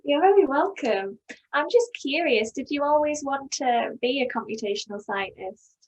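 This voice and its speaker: A woman's voice: high voice, animated, moderate pace. A young adult woman hosting a podcast, asking friendly, curious questions over a video call. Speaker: podcast host. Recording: dull lo-fi video call audio.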